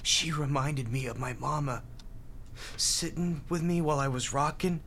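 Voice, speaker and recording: An actor in a radio drama playing a grieving, bitter young hospital volunteer, tough but tender, speaking bluntly and haltingly. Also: male voice, on the high side, vocal fry, fairly bright tone, a little hiss or hum. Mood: bitter, hurt. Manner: halting, emotional, blunt street talk.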